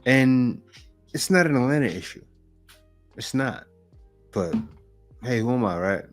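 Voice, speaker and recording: A man's voice: medium pitch, lively intonation, measured pace, soft background music. Blunt man commenting on a clip. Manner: blunt, opinionated, talks back to the clip. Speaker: reaction video host. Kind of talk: casual commentary.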